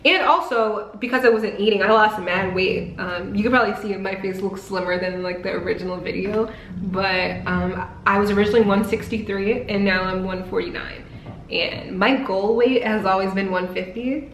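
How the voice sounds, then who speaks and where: A woman's voice: mid-range voice, normal speed, fairly bright tone, slight background noise. Young woman vlogging, voice kinda strained, says "like" constantly, echoey room. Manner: chatty, peppered with "like". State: strained voice while healing from throat surgery. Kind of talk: casual vlog talk to camera.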